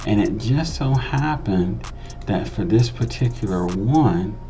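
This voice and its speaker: A man's voice: lowish voice, lively intonation, slow, dark tone, soft background music. A man giving a casual tutorial voice-over in a conversational, explanatory way, repeating phrases for emphasis. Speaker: host of a video editing tutorial channel.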